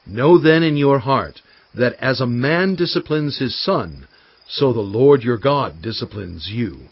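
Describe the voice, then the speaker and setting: An actor playing a solemn, impassioned male prophet in a dramatised scripture reading, speaking with emphatic, oratorical, deliberate phrasing. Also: medium pitch, husky, dry recording. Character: Moses, solemn, impassioned male prophet exhorting his people. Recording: dull, band-limited audio with music behind.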